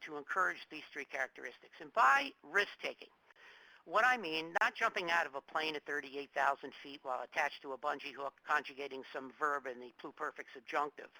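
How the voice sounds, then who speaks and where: A middle-aged man from New Jersey giving a public lecture, talking in a halting way with fillers, restarts and joking asides. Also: on the high side, pushed and bright, no echo, poor audio. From New Jersey, United States. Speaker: guest lecturer.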